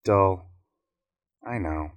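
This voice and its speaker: A woman voicing a gentle, earnest young male moth in a storybook, soft-spoken and wistful. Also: a bass voice, drawn-out words, no room sound, voice pushed down, heavily acted. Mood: resigned.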